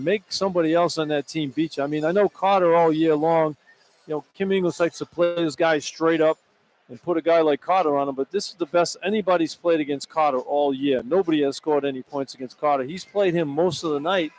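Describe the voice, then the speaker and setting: A man's voice: on the high side, brisk, a little mellow, low-quality recording. A man giving live color commentary on a basketball radio broadcast, informal, blunt and opinionated. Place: broadcast position at an indoor arena. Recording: faint background noise, arena ambience. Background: faint arena noise.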